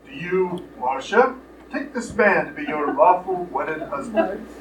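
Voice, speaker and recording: A man's voice: high voice, big pitch swings, a little slow. An actor playing a reluctant, plain-spoken fisherman with a grudging, folksy manner in a live radio comedy play. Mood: halting officiant. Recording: echoey, distant, noisy live stage recording.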